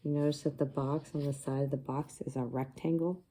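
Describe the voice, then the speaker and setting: A woman teacher reading a picture book aloud to young children, pausing to explain things simply and ask them questions. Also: sits low, fairly even intonation, warm tone.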